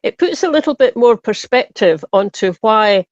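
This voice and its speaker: A woman's voice: a little high, lively intonation, normal speed, no echo. A woman, co-hosting a podcast, thinking aloud as she speaks. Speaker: podcast host. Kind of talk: casual podcast chat. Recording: dry, dull lo-fi sound.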